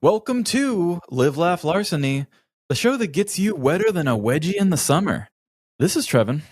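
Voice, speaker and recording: A man's voice: a little high, very animated, moderate pace, a little mellow, breathy edge, no room sound, studio quality. A man co-hosting a comedy podcast, playful and theatrical when he introduces things. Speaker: podcast co-host.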